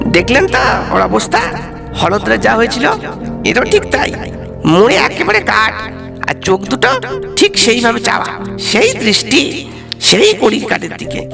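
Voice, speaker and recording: A high-pitched, excitable gentleman, put on by a male narrator in an audio horror story. He scolds with agitated reproach, his voice lifted well up, with music behind him. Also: moderate pace, creaky, full character voice. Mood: agitated scolding.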